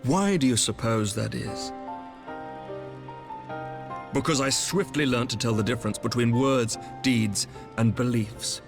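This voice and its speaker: An actor playing a composed, courtly knight commander in a fantasy game, speaking formal, measured archaic English. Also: male voice, medium pitch, vocal fry, music in the background. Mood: firm, proud. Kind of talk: scripted fantasy game dialogue.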